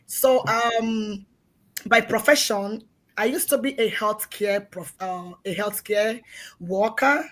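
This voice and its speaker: A Nigerian woman guest on a live video call, speaking in an effusive, lively way. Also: medium pitch, takes it slowly, rough recording. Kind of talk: live stream group chat.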